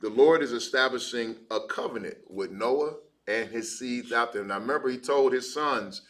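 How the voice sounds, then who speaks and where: A man's voice: medium pitch, normal speed, a bit bright. A man teaching a Bible class in a casual, conversational way, using fillers as he explains. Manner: casual, explaining with fillers like "you know what I mean".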